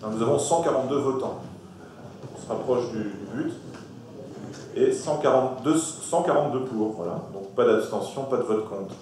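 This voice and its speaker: A man's voice: medium pitch, moderate pace. A man chairing a council meeting, moving through procedure and votes in plain spoken phrases. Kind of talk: procedural chairing, running a vote. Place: large echoey meeting hall. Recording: echoey hall, distant mic, faint noise.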